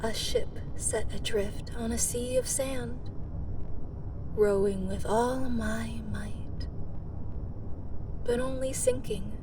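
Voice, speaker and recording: A woman playing an embittered immortal hero, obsessed with her fated enemy, in a dramatic dark fantasy monologue that is brooding and often drops into breathy whispers. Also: on the high side, huge pitch swings, slow, pauses often, far from the mic, noisy background, heavily acted. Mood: desolate.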